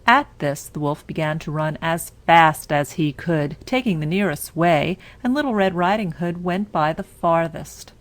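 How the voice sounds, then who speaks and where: A woman narrating a scripted bedtime story for children in a measured, deliberate manner. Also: fairly low pitch.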